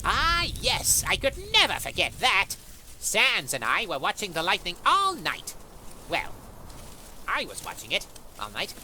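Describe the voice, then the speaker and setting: A woman's voice: medium pitch, wildly swooping pitch, a little slow, sharp tone, slight background noise, full character voice. A woman voicing a vain, upbeat skeleton in an audio drama, with theatrical exclamations. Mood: fond, proud. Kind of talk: fan audio drama acting.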